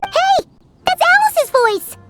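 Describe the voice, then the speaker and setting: A woman voicing a small, excitable, impatient fairy-like girl companion in a video game, exclaiming chirpily. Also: very high voice, takes it slowly, loud music behind the voice, exaggerated voice. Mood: surprised. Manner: refers to self in third person, exclamatory. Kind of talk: English video game voice acting.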